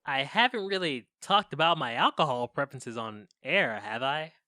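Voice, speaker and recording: A woman's voice: rumbling low, lively intonation, normal speed, bright, forceful tone, dry recording, studio quality, full character voice. A woman playing a flustered, awkward young podcaster in a modern audio drama, nervous and stammering, easily smitten. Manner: stammering, nervous, breaking off mid-phrase.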